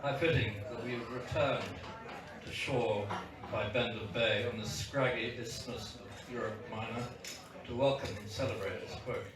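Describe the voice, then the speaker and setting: A man introduces a guest speaker at a launch in a measured, formal way. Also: mid-range voice, steady tone, crowd noise. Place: event hall with audience. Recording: echoey hall recorded from far off.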